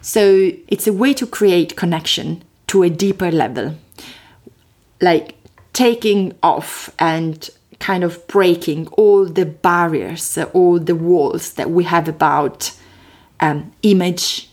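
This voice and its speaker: Lively Italian-accented woman, lowish voice. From Italy. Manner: lively, expressive, quick bursts with hesitations. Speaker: guest. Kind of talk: casual interview talk.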